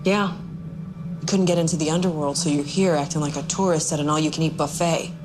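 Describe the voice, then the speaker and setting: An actress playing a principled, dry-witted police investigator, blunt and sardonic in a screen drama. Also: female voice, sits low, steady tone, fast, lightly acted. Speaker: actor in a TV supernatural police drama. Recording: music under the dialogue.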